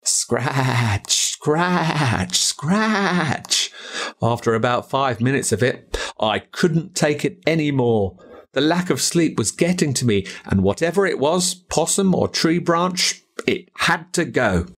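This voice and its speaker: An Australian man narrates a storybook as dramatic first-person recollection. His mid-pitched voice moves up and down in a lively way, with an expressive, suspenseful delivery. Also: slow pace, creaky, breathy edge. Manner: expressive, suspenseful storytelling as a boy recalling events. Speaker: audiobook narrator.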